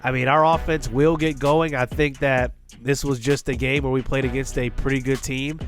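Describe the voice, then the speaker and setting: A man with a congested, stuffed-up voice calls a football video game play by play as a gaming channel host. His pitch sits in an ordinary middle range, and he shouts on the big plays. Quiet music plays faintly behind him. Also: little pitch movement, moderate pace. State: congested from illness.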